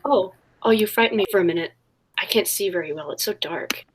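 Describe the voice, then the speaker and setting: An actress playing a trusting, polite heroine in a 1940s-style radio detective play, speaking earnestly. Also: female voice, mid-range voice, fairly quick, husky, close to an everyday voice. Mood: innocent.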